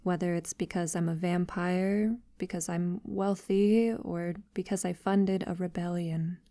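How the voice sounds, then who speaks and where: A woman playing a centuries-old, wealthy vampire lover in an intimate ASMR audio roleplay, soft-spoken and half-whispering with hesitant pauses. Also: lowish voice, fairly even intonation, dry recording, clean studio sound. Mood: concerned, affectionate.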